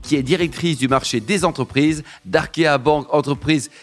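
A man's voice: fairly high pitch, moderate pace. A man hosting a business radio show who introduces guests and questions them in a jovial, teasing way.

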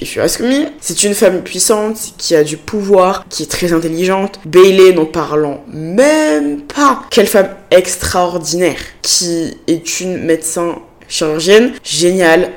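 A young woman from Ivory Coast, speaking without a regional accent and hosting a solo podcast, talking in an animated way and often dropping English phrases into her speech. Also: fairly low pitch, a little slow, few pauses. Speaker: podcast host. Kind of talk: casual solo podcast monologue.

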